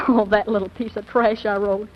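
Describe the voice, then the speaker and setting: A woman's voice: medium pitch, little pitch movement, normal speed, close to an everyday voice. A young woman playing a theatrical, wounded, impulsive teenage girl in a 1940s radio drama, with breathless, melodramatic exclamations. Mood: haughty, hurt. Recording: muffled, noisy old radio broadcast recording.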